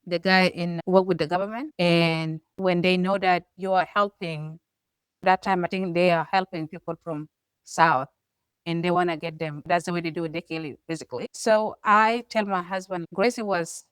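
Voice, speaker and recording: South Sudanese woman, smooth and emphatic. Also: on the low side, fairly even intonation, moderate pace, no echo. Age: middle-aged. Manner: earnest, emphatic, rising to forceful stresses. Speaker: co-host. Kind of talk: personal storytelling.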